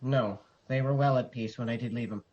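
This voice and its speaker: A man playing a sorrowful noble messenger in a Shakespearean radio drama, delivering solemn, measured verse. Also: medium pitch, fairly even intonation, a little mellow, lightly acted. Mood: guarded, solemn. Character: Ross, sorrowful noble messenger bearing dreadful news. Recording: slightly dull, muffled audio.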